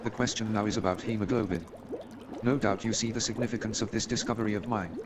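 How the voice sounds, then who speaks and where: A man playing an eager, brisk, self-absorbed scientist in a humorous radio play, emphatic and enthusiastic. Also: fairly low pitch, steady tone, close to an everyday voice. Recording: loud noise and crowd sound.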